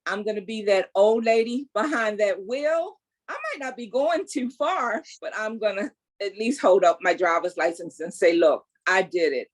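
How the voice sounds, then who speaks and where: Woman in her sixties, very animated pitch, emphatic, testimony. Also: normal speed. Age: 60s. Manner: animated, emphatic, faith-filled storytelling. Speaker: guest. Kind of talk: casual interview, testimony.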